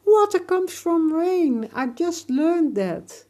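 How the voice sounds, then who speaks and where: A young boy stranded in a boat, his voice lifted high and pushed loud as he pleads and demands, as a storyteller puts him on. The tone stays soft and airy underneath. It's a storybook reading. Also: slow pace, husky. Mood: excited.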